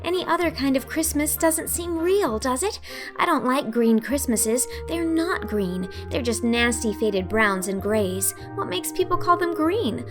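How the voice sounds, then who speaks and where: A woman's voice: sits high, lively intonation, a little slow, music in the background, voice pushed up. Woman doing a gushing, dreamy young girl. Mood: gleeful. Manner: gushing, effusive. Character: rapturous, chatty, dreamy orphan girl.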